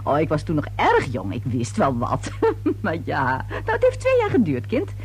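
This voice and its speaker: An actress playing a nosy, self-important middle-aged neighbour in a Dutch radio play, chatty, confiding and full of insinuation. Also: female voice, sits low, huge pitch swings, moderate pace, a little hiss or hum. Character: gossipy, twice-married older neighbour woman, nosy and self-important.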